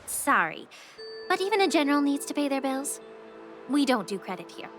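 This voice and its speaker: A woman's voice: sits high, very animated, moderate pace. A woman voicing a cheeky, blunt young healer's assistant in a video game, with music behind.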